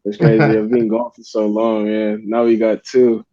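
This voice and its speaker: Laid-back young man from Uganda, mellow and low. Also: completely flat delivery, normal speed, no room sound. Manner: laid-back, rambling with many fillers. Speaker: guest artist. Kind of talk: casual interview chat. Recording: dull lo-fi dry sound.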